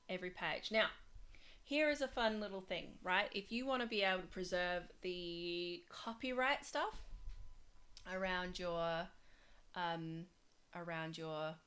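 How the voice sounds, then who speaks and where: A woman teaching design software in a casual, rambling way, full of fillers and restarts, her voice going hoarse. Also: lowish voice, a little slow. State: losing her voice. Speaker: design tutor. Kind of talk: casual screen-share tutorial.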